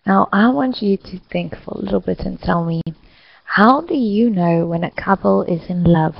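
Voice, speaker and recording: Woman teacher w/ a really gravelly fry voice, dry close sound, kinda dull audio. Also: medium pitch, no room sound. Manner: slow, clear, encouraging teacher talk. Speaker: English teacher hosting an online class. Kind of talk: scripted online lesson facilitation.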